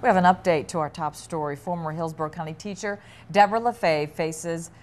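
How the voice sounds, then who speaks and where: A woman's voice: lowish voice, a little fast, a little hiss or hum. A woman co-anchoring a newscast, reading scripted news and entertainment items.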